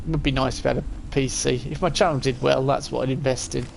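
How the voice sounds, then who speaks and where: A man's voice: medium pitch, steady tone, fast, creaky, slight background noise, poor audio. A man in his forties giving casual live-stream commentary as he plays, chatting and thinking aloud. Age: 40s.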